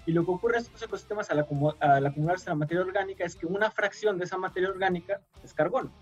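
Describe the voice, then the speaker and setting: Soft-spoken man explaining patiently. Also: sits high, racing, breathy edge, lo-fi sound, faint music behind. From Mexico. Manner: patient explainer with frequent fillers. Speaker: guest scientist. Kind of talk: radio interview, explaining.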